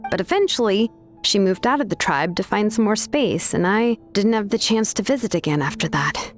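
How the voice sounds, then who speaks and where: A woman's voice: medium pitch, expressive, normal speed, close to an everyday voice. Actress playing a chill caring young woman in a game, mid-range voice, casual and modest, faint music behind. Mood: nostalgic. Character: laid-back, caring young woman, tribe's name engraver. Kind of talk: video game voice acting.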